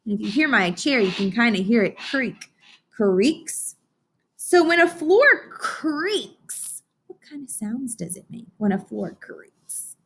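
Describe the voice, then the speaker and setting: A woman's voice: a little high, animated, slow, a bit bright, breathy edge. A woman teaching young children in an interactive read-aloud lesson, encouraging and instructional, often putting questions to her listeners.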